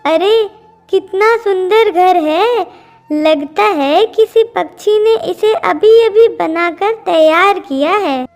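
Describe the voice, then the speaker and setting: A woman's voice: very high voice, takes it slowly, darkish tone, faint music behind, heavily acted. A woman voicing a greedy, bullying female cat in a cartoon story, gloating and menacing. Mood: covetous. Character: greedy, bullying female cat who seizes birds' homes. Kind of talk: Hindi cartoon story dubbing.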